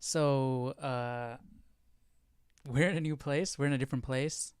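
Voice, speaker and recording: A man speaks casually as the host of a live study stream. His mid-pitched voice is clean and resonant, with very little room sound. He talks in a halting, informal way, thinking aloud as he goes. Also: steady tone, darkish tone, clean tone, no echo, studio quality. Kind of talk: casual livestream chat.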